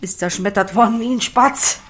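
A woman's voice: a little high, normal speed, lightly acted. Blunt young farm woman, played by an actress. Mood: grim, recollecting. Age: young adult. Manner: blunt, plain-spoken. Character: earthy, stubborn young woman pig farmer. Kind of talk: German audio drama acting.

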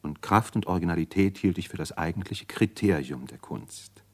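An actor playing a thoughtful young medical student and poet, speaking in a reflective, earnest radio drama monologue. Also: male voice, low-pitched, animated, normal speed.

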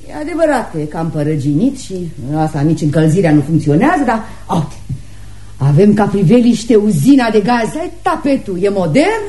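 An actress plays a hard-drinking middle-aged mother in a radio drama. Her mellow voice swings widely as she bosses and mocks, flippant and careless. The room echoes around her. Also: medium pitch, big pitch swings, lightly acted. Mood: mocking. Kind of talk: Romanian radio theatre acting.

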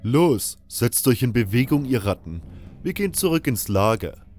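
A sneering, violent thug of a prison colony snarls aggressively in a fantasy radio play, as a man acts him out. His voice is pushed higher and louder over background music. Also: big pitch swings, moderate pace, close to an everyday voice. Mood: barking orders. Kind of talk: fantasy audio drama acting.

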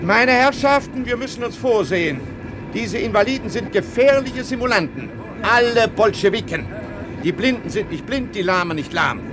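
High-voiced pompous bourgeois gentleman. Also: expressive, moderate pace, a crowd in the background. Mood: outraged. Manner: haughty, accusatory, declamatory. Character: pompous, spiteful bourgeois gentleman denouncing invalids. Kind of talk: 1960s German radio play acting. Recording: vintage radio play, music and street sounds.

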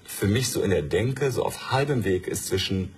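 Deep-voiced man chatting reflectively. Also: lively intonation, normal speed, husky. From Germany and Norway. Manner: chatty, reflective, many fillers. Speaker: studio guest. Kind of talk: casual conversation.

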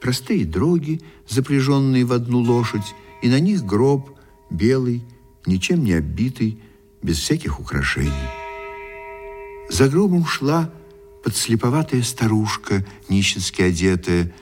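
An actor narrating a period tale for a radio play in an expressive, measured storytelling manner. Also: male voice, medium pitch, close to an everyday voice. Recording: radio drama with faint music behind.

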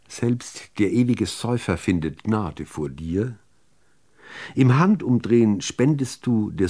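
A man reciting literary poetry, phrasing it in a measured, deliberate way with expressive emphasis. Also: on the low side. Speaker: reader of poems.

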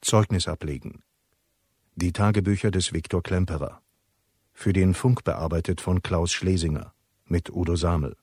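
A man with a husky voice reading a scripted radio title announcement. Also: deep voice, steady tone, moderate pace, a little mellow.

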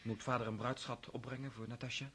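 A man's voice: medium pitch, flat delivery, fast talker, pushed and bright, husky. An actor in a radio play, voicing a young nobleman and officer home on leave, eager and hearty. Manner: eager and hearty, turning dutiful.

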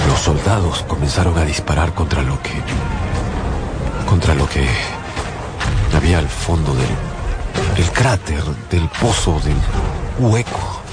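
A man playing an anguished eyewitness in a radio drama, telling his story in a grave, halting, urgent way. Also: rumbling low, exaggerated voice. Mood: dread. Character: anguished male eyewitness narrator searching for missing friend. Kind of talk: radio drama narration and dialogue. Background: loud dramatic music.

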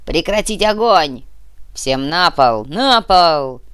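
A woman voicing a ruthless male terrorist leader in an audiobook, giving loud, deliberate orders with cold menace. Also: low voice, animated, clean tone. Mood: barking orders. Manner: loud barked orders, drawn-out deliberate phrasing. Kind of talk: audiobook character acting.

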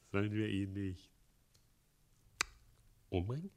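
An actor playing a sly, fawning, half-mad father and mayor in a theatrical German radio play, giggling and jumping between shouts and whispers. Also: lowish voice, creaky, background noise, exaggerated voice. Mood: scheming.

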